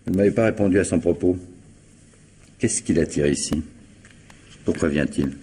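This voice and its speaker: An actor playing a dry, probing police commissioner in a French radio mystery, insistent and insinuating. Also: male voice, deep voice, moderate pace, warm tone, husky. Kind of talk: 1950s-style French radio mystery acting.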